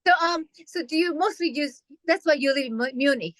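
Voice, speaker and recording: A Japanese woman pianist interviewing a guest in English, eager and quick with short agreements. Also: high-pitched, a bit bright. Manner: enthusiastic, lots of short affirmations. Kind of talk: casual interview chat.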